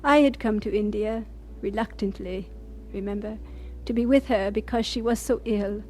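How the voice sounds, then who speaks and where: An actress playing a devoted missionary doctor, reflective and sincere, narrating and acting in an audio drama. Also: female voice, mid-range voice, moderate pace, mellow, slight background noise, close to an everyday voice. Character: composed, devoted female missionary doctor, reflective and warm-hearted.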